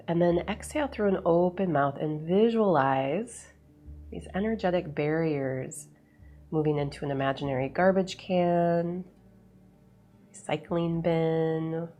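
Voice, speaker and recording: A woman leading a guided meditation in a soothing, unhurried way, with measured phrases and long pauses. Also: low-pitched, dark tone. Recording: voice over background music. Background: background music.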